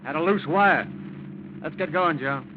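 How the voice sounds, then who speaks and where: A man's voice: a little high, measured pace, natural voice. A dull, noisy vintage radio recording carries an actor playing a brusque night patrol cop. He is curt and nosy, firing off questions in old radio drama style. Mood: brisk, suspicious. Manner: curt, interrogating. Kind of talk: 1940s radio drama acting.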